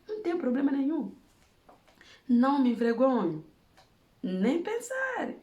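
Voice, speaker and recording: A woman's voice: high voice, wildly swooping pitch, a little slow, darkish tone, roomy sound, dull audio. A woman from Angola with an Angolan Portuguese accent, giving earnest, emphatic advice in a monologue. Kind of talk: impassioned monologue. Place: home in Germany.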